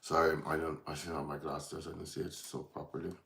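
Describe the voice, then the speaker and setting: A man's voice: a bass voice, little pitch movement, brisk, gravelly, fairly bright tone. An Irish man from Dublin hosting a casual livestream, rambling and distracted as he chats with viewers. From Ireland, from Dublin. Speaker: livestream host.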